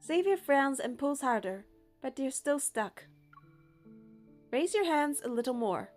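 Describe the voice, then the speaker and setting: A woman's voice: high voice, expressive, unhurried, faint music behind. A young woman reading a game's story narration and first-person dialogue aloud in a scripted style. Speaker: narrator of a game playthrough.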